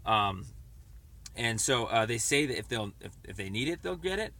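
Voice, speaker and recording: A man calling to make a complaint, explaining at length in a rambling, halting, stammering way over the phone. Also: mid-range voice, big pitch swings, pushed and bright. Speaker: caller making a complaint. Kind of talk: phone conversation. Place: on a phone call.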